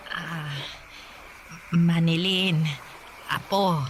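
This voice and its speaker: A woman playing a poor, protective old grandmother in a Tagalog radio drama, halting and scolding as she talks. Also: fairly low pitch, steady tone, a little hiss or hum. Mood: worried, reluctant. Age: older.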